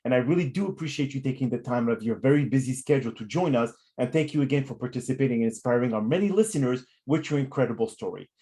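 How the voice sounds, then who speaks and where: A man hosting a podcast, politely affirming his guest and reading a measured closing. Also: mid-range voice, dark tone. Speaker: podcast host. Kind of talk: podcast interview and scripted closing.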